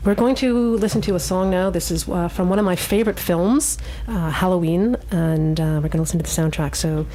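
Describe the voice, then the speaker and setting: A woman's voice: lowish voice, brisk, mellow. A woman hosting a live radio show in a casual, slightly hesitant way from a studio.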